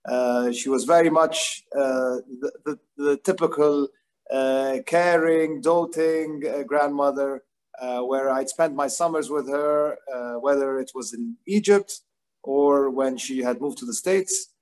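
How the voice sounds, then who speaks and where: A man's voice: medium pitch, unhurried, a little mellow. A man in his forties giving an informal personal remembrance on a video call, with hesitant, thoughtful phrasing. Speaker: guest, grandson giving remembrance. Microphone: video call device microphone.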